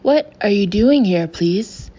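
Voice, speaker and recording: A woman's voice: mid-range voice, expressive, takes it slowly, warm tone, husky. A woman voicing a bossy fake fortune teller with an odd put-on accent who snarls orders and says please constantly. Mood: snarling. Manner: snarls orders, tacks "please" onto everything. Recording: dull lo-fi with faint hiss.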